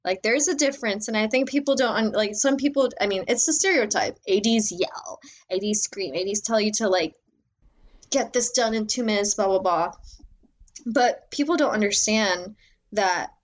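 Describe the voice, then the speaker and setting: A young woman speaks in a loud voice, relaxed and chatty. She rambles with lots of "like"s and quick restarts, as a guest in a casual interview about her work on film sets. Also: mid-range voice. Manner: animated, filler-heavy, many "like"s. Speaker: assistant director guest.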